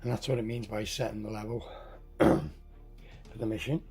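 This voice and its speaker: A hoarse man getting over a cold walks through a tabletop game setup, halting, correcting himself and clearing his throat. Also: on the low side, level tone, a little mellow, faint music behind. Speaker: tabletop game tutorial host. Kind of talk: casual step-by-step game setup walkthrough.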